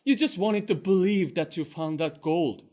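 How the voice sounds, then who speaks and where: A sharp-tongued scolding wife, as a male storyteller puts her on. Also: high-pitched, normal speed, close to an everyday voice. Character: scolding wife of a drunken fishmonger. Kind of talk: one-performer comic storytelling.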